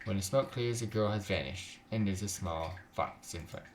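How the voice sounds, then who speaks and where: A man's voice: on the low side, normal speed, slight background noise. A man hosting a gaming video, reading a visual novel aloud with casual reactions.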